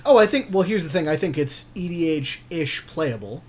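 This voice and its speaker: A man's voice: fairly high pitch, expressive, moderate pace. A man co-hosting a gaming podcast, chatting casually with a friend in a rambling, slangy way with some swearing. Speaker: podcast co-host.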